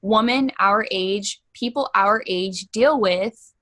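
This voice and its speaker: A woman's voice: mid-range voice, slow, a bit bright. A woman in her twenties from Hawaii, co-hosting a podcast with blunt, cheerful banter and plenty of swearing. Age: 20s. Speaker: podcast co-host. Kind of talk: casual chat.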